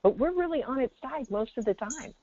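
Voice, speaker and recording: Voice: sits high, expressive, a little fast, warm tone, no room sound. A host guiding a call-in discussion in a reflective, conversational teaching style over a phone line. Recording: narrow tinny phone line. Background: birdsong from the caller's line.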